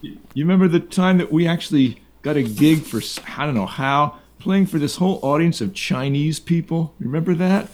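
A man's voice: on the high side, normal speed, darkish tone, slight background noise. An older man in casual conversation, reminiscing as a guest about his time as a band musician.

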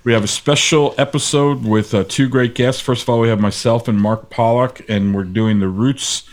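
A man's voice: medium pitch, steady tone, moderate pace. An American man hosting a radio show, introducing things in a casual, informal and slightly hesitant way.

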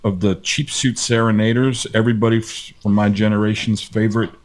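A man's voice: on the low side, little pitch movement, fairly quick. An American man hosting a radio show, introducing things in a casual, informal and slightly hesitant way.

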